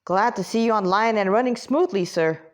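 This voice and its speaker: A woman's voice: medium pitch, brisk, close to an everyday voice. Woman as a bashful, deferential android guard. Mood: respectful. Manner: deferential, rambling, hesitant.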